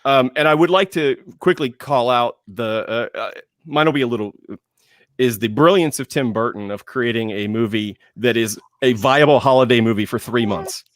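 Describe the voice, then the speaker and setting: A man's voice: mid-range voice, moderate pace, no room sound, studio quality. A man talking casually as a panelist about pop culture, thinking aloud and often repeating his phrases. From lived in Georgia, US.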